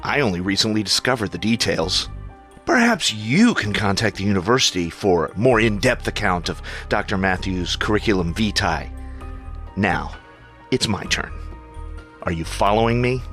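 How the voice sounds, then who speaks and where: A man's voice: on the low side, expressive, normal speed, a bit bright, faint music behind. A man playing a guarded, terse male superhero in an audio drama, formal and tense in manner.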